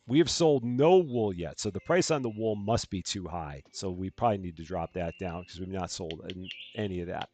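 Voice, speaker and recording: A man with a calm, soothing voice, casually talking through a game on a live stream and thinking aloud as he plays. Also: deep voice, normal speed, few pauses, no room sound, soft background music. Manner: soft-spoken, rambling, thinking aloud.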